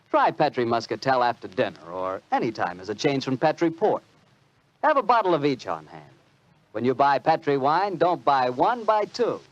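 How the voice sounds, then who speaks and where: An American man announces for a 1940s radio show. He sells in a chatty, folksy, persuasive way with very lively intonation. The sound is that of a dull old broadcast. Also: mid-range voice. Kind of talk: 1940s radio sponsor pitch.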